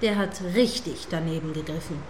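A woman playing a stern, indignant radio news anchor, prim and quick to correct, in a satirical radio play. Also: low-pitched, slight background noise, natural voice. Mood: prim disapproval. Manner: prim, schoolmasterly, sharply correcting.

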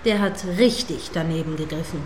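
A woman's voice: low voice, fairly quick, slight background noise, natural voice. A woman playing a prim, combative radio news anchor in a radio play, speaking formally with pointed, sceptical irritation. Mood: indignant, sharp. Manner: stern, pointed, formally articulate.

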